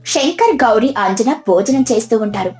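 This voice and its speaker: A woman's voice: fairly high pitch, animated, normal speed, a little mellow, soft background music. A woman storyteller reading a scripted moral tale as narration.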